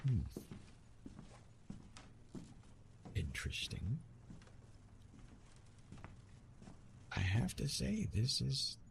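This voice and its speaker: A weary immortal love god, played by a man, grumbles theatrically and wryly in an ASMR roleplay. He takes his time, with halting, dramatic phrasing, over faint background noise. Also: lowish voice, gravelly, darkish tone, exaggerated voice. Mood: wry curiosity. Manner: dramatic, wry, halting with repeated words.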